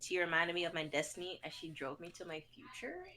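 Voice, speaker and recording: A woman's voice: on the low side, animated, brisk, pushed and bright, a little hiss or hum. A woman chatting casually with friends on a live stream. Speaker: member of a writing and performing group.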